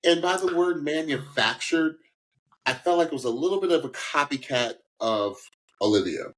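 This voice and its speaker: A man co-hosting a music podcast, giving casual, opinionated commentary on singers in a halting way. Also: on the high side, lively intonation, rough recording. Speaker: podcast co-host, singing critic.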